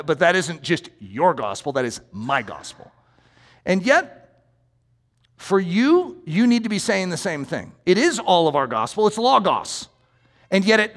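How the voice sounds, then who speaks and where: A man preaching a sermon as pastor, animated and conversational, with asides to the congregation. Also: sits high, gravelly. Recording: long hall reverb.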